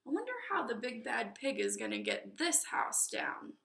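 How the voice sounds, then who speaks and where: A woman reading a children's story aloud as an expressive narrator, deliberate and emphatic on key words. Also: fairly high pitch, creaky, noisy background. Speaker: storybook reader. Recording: echoey room.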